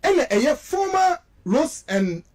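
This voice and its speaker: A man's voice: very high-pitched, sing-song, takes it slowly, darkish tone, no room sound. A man with a Ghanaian accent giving impassioned, accusatory radio commentary, repeating phrases for stress and switching between two languages. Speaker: political commentator on a radio show.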